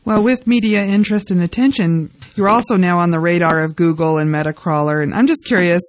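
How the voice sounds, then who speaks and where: A woman co-hosting a radio talk show, asking the guest questions and reading brisk station announcements. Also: on the low side, no room sound. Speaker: radio co-host. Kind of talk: radio interview.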